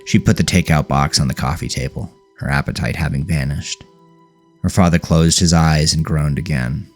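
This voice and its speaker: A man's voice: very deep voice, moderate pace, faint music behind. A man reading scripted horror fiction as an audiobook narrator.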